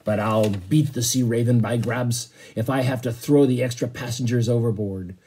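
A man voicing a gruff, short-tempered sea captain from a storybook, blustering and growling. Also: medium pitch, steady tone, normal speed, warm tone, a little hiss or hum, natural voice. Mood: grumbling. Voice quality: roaring, growling.

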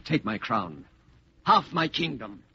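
A man's voice: mid-range voice, sing-song, slow pace, bright, forceful tone, slight background noise, exaggerated voice. An actor playing a proud, desperate medieval king in declamatory, theatrical old radio style. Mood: pleading. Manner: declamatory, archaic, grandly theatrical. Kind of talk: 1940s radio acting. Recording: muffled old radio broadcast.

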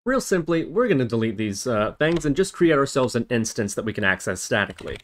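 A man hosting a software tutorial, explaining methodically, step by step. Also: mid-range voice, big pitch swings, fairly quick. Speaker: tutorial host. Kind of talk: scripted-feeling coding tutorial narration. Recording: noisy, with keyboard typing and clicks. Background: computer keyboard typing and mouse clicks.

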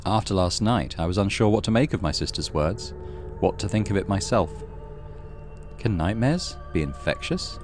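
Casting: male narrator for horror visual novel, low voice, measured dramatic storytelling, music underneath. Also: animated. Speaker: gaming channel host reading visual novel.

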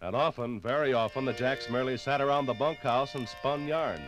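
A male radio narrator in the 1950s documentary style, with a mid-range voice. He reads a script in measured phrases and tells it with a sense of drama. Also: medium pitch, music in the background.

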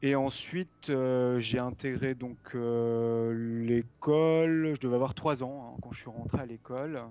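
A man's voice: mid-range voice, fairly even intonation, clean tone. Man on a dull video call line. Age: 40s. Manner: halting, frequent fillers. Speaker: guest sign language trainer. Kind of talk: casual interview answers.

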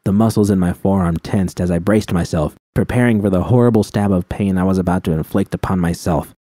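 A man reads a first-person story in a quiet, somber, measured way. His voice sits low with a dark, mellow tone. The sound is dry and close, with no room around it. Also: no room sound. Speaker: audiobook reader. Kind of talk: reading first-person fan fiction aloud.

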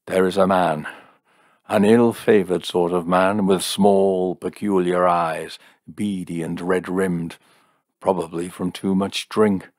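Solemn storybook fortune-teller, voiced by a male reader, mystical, portentous, unhurried, low-pitched. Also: fairly even intonation, darkish tone, no echo, clean studio sound. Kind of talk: dramatised storybook reading.

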